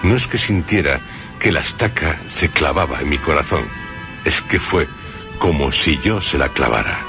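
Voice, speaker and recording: A man's voice: extremely low pitch, expressive, normal speed, music in the background. A man giving scripted film commentary on the radio, with personal asides. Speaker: radio commentator on horror films.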